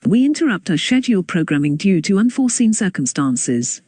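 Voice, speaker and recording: An announcer reads a scripted broadcast interruption notice in a husky voice. The intonation swings widely, and the sound is very dry. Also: mid-range voice, sing-song, a little fast, a little mellow, no room sound.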